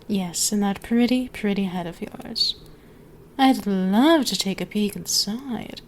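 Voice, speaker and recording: Female dragon, voiced by a woman, ancient, seductive, domineering, unhurried teasing delivery, whispery ASMR roleplay, medium pitch, ambient background noise. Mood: teasing, flirtatious. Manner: slow, teasing, drawn-out, often dropping to whispers. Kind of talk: ASMR roleplay, speaking intimately to the listener.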